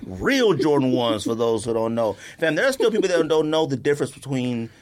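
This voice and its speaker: An American man co-hosting a podcast, chatting casually and telling stories in a slangy, animated way. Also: mid-range voice, a little fast. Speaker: podcast co-host. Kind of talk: casual sneaker podcast chat.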